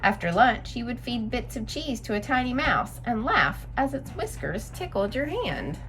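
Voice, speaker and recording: A woman hosting story time, reading aloud and explaining things simply to young listeners in an expressive, instructive way. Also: a little high, moderate pace.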